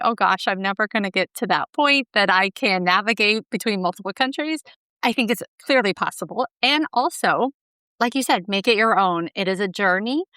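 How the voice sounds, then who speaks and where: Podcast host lady, slightly high smooth voice, asks thoughtful stuff. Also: pushed and bright, no room sound, studio quality. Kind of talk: conversational interview questions.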